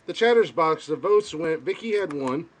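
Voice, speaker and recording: Loud, laughing male podcast host. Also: on the high side, animated, moderate pace. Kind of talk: casual live-stream chat.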